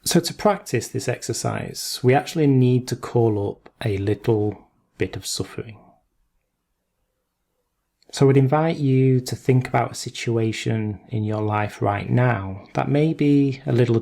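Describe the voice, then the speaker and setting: A man guides a meditation in a soft-edged, mellow voice with a gravelly creak. He gives gentle instructions very slowly, in short phrases with long pauses between them. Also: mid-range voice.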